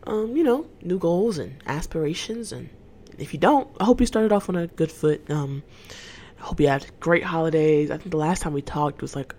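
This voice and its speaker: Young woman, 19, podcast host. Low-pitched, very lively intonation, candid and chatty. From Atlanta, Georgia. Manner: chatty and candid, quick laughs, trails off and restarts. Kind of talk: casual solo podcast chat.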